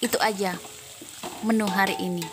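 A woman's voice: medium pitch, fairly even intonation, normal speed. A woman from Medan, Indonesia, a homemaker giving a casual, chatty vlog voice-over. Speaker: homemaker vlogger.

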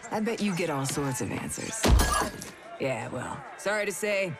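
Rowdy video game brawler woman, crude and swaggering, lively swinging pitch, game music underneath. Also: takes it slowly, exaggerated voice. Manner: crude, swaggering, foul-mouthed.

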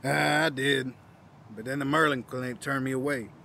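A gravelly, forceful old veteran , acted by a man, down on his luck but proud. He talks in a gruff, wry, folksy way, as in an audio drama. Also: medium pitch, steady tone, moderate pace, slight background noise. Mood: weary, reluctant.

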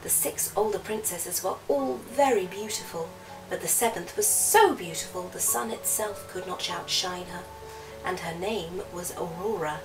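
A woman softly narrating a children's storybook aloud. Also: on the low side, moderate pace. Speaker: storybook reader. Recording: distant mic, music behind the voice. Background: music.

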